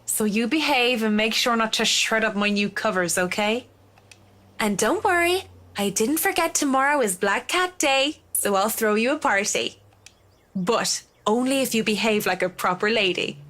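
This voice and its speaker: A woman's voice: fairly high pitch, normal speed, fairly bright tone, lightly acted. A woman voicing an affectionate young woman in a visual novel, cooing and playfully fussing as if to a pet. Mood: playful fondness.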